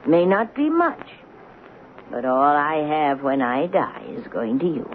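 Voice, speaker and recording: Expressive, moralizing elderly lady. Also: low-pitched, measured pace. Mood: tender, pleading. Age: older. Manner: earnest, lamenting. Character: kindly, moralizing elderly woman, gently sorrowful. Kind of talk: radio drama acting. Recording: tinny old radio drama broadcast, faint noise.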